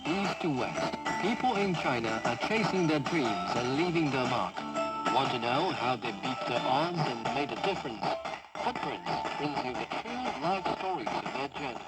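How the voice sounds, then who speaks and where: A man's voice: mid-range voice, sing-song, normal speed, low-quality recording. A man narrating a scripted broadcast promo as an announcer. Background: loud music.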